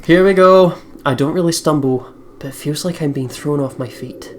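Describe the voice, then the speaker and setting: A man's voice: a little high, normal speed. A man hosting a let's play, chatting casually and reading visual novel narration aloud. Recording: slightly noisy home recording.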